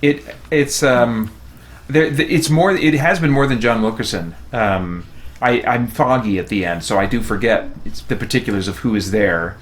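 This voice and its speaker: A middle-aged man rambling casually in a halting way, thinking aloud as he hosts a game stream. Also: mid-range voice. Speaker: live stream host. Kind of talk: casual chat while playing a game.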